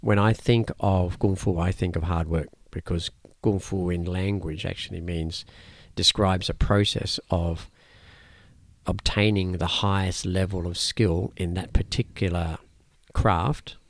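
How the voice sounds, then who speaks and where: Deep-voiced man, Cantonese native. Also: steady tone, no room sound. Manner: measured, thoughtful, with frequent fillers and restarts. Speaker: martial arts teacher. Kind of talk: conversational interview answers.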